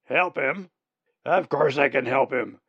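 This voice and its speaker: A man voicing an old, tattered, one-eyed male raven with a raspy, theatrical, booming manner. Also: unhurried, no room sound, full character voice. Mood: grand, theatrical. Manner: grand, loud, theatrical. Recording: dull lo-fi recording.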